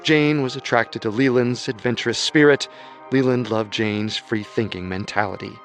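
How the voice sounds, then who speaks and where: Steady male storytelling narrator. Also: medium pitch, moderate pace, faint music behind. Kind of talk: scripted documentary narration.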